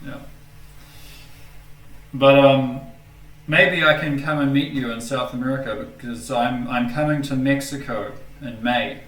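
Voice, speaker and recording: A man's voice: medium pitch, steady tone, unhurried, long pauses, fairly bright tone. Laid-back kiwi guy rambling on a video call, roomy echo, a bit far from the mic. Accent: New Zealand. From New Zealand. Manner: easygoing, rambling, lots of fillers. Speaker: host. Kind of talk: casual video call chat.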